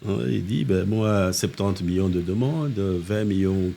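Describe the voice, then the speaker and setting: An older man from Congo, a mayor telling animated anecdotes in a casual interview, with restarts and asides, recorded on a living-room podcast set. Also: low-pitched, unhurried, creaky, slight background noise. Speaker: mayor, political guest.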